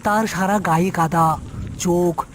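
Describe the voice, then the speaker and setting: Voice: medium pitch, fairly even intonation, unhurried, slight background noise. A narrator reading a scripted horror story in a storytelling style.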